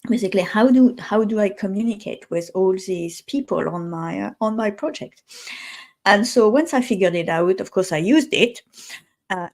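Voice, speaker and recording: A woman's voice: mid-range voice, darkish tone. French woman, thoughtful guest. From France. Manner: thoughtful, pauses to search for words. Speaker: guest, communication specialist. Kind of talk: interview conversation.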